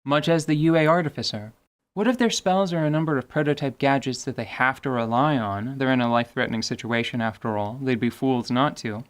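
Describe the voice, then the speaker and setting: A man's voice: medium pitch, brisk. A man hosting a podcast, giving semi-scripted tabletop gaming commentary in an articulate, wordy way with wry, playful asides. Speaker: podcast host.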